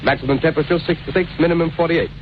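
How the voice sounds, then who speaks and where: An actor playing a brisk, impersonal 1930s radio weather announcer, clipped, formal and matter-of-fact. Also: male voice, a little high, fairly even intonation, lightly acted. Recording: noisy, dull old 1930s radio recording.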